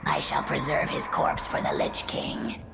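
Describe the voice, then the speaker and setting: A woman playing a cold undead servant of a dark lord in a game, with a whispery, gravelly voice. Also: measured pace, music in the background, lightly acted. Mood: cold, sinister.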